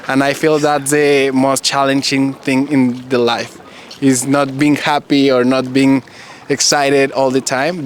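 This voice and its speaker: A man whose first language is Spanish, hosting a relaxed conversation and speaking thoughtfully in English while searching for words. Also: medium pitch, a little hiss or hum. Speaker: podcast host. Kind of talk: casual conversation.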